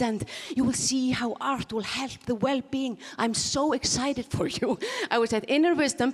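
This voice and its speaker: Woman, mixed Icelandic-British accent, stand-up comic, self-mocking, slightly high voice, long hall reverb. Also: husky. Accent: mixed Icelandic, Scottish and English. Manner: self-mocking, laughs at her own jokes. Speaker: stand-up performer. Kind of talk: stand-up comedy on stage. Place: conference hall stage.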